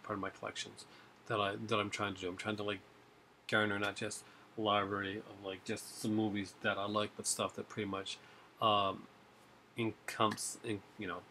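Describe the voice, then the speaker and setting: Rambling man with a bright voice. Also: lowish voice, slight background noise. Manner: rambling, many fillers and restarts. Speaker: collector vlogger. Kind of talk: casual chat to camera. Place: home room with shelves of discs. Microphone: webcam at desk.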